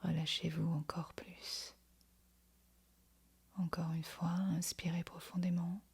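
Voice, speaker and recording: A woman guiding a yoga nidra meditation in a breathy, whispery, husky voice, speaking softly and soothingly with long pauses. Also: on the low side, little pitch movement. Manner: soft, soothing, unhurried instruction with long pauses. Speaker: meditation guide.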